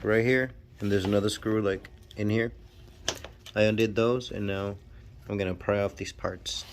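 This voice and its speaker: A man's voice: fairly low pitch, slight background noise. Stuffy-nosed man talking through car repairs. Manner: talks while working, halting and self-deprecating. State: stuffy, nasal from a cold. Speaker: channel host. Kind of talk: casual DIY garage walkthrough. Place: home garage.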